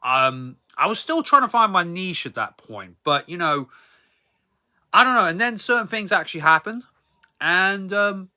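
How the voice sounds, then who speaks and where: A man's voice: high-pitched, sing-song, normal speed, bright, forceful tone. A man in his thirties telling a casual, rambling personal story as a vlogger, hesitant and repetitive, often tailing off into tag phrases.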